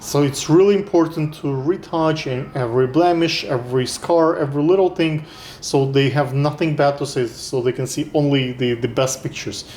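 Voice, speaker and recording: A man's voice: fairly high pitch, normal speed, a little mellow. A man hosting a casual interview who asks long, rambling questions and often breaks off to restart them. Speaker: interviewer, host. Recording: echoey room with faint noise.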